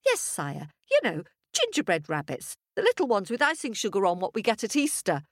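A woman voicing an excitable, chatty, boastful farmer's wife in a folk tale, gushing and rambling. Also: mid-range voice, fairly quick, dry recording, studio quality, voice pushed up. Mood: proud, gushing. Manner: gushing, breathless exclamations, rambling. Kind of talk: storybook character voice.

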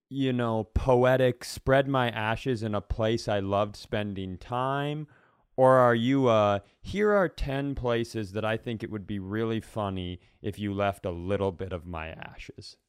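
A man co-hosting a comedy podcast in casual chat, rambling through animated, mock-serious explanations. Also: mid-range voice, smooth, dry recording, studio quality. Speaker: podcast co-host.

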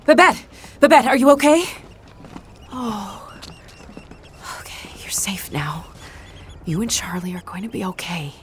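A woman's voice: mid-range voice, sing-song, measured pace, long pauses, a little hiss or hum. An actress playing a weary, sarcastic but protective adventurer woman in an audio drama. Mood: alarmed, tender. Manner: dry, sardonic, tender with loved ones.

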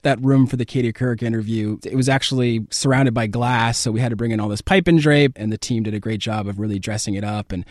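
A man's voice: medium pitch, fast talker, few pauses, no room sound, clean studio sound. A man with an American accent giving conversational answers as an interview guest. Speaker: interview guest, political campaign advance man.

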